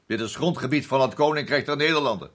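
An actor playing a blunt, world-weary colonial army captain in a radio play, talking in a familiar, musing way. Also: male voice, mid-range voice, fast, a bit bright, lightly acted. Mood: firm.

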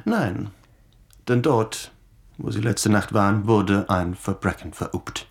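A man's voice: lowish voice, slow pace, gravelly. An actor playing a young male newspaper reporter in a radio play, asking pointed, insistent questions. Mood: accusing, pressing. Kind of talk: German radio play acting.

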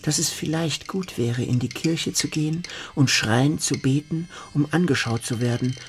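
A man's voice: a little high, moderate pace, a little hiss or hum, close to an everyday voice. An actor playing a fearful, solitary, evasive man in a literary radio drama. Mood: uneasy, musing. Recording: music behind the voice.

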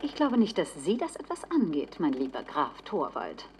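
A woman's voice: low voice, wildly swooping pitch, moderate pace, exaggerated voice. A woman playing a haughty film actress in a radio drama, delivering stagy, arch lines on a noisy old film soundtrack. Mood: haughty defiance.

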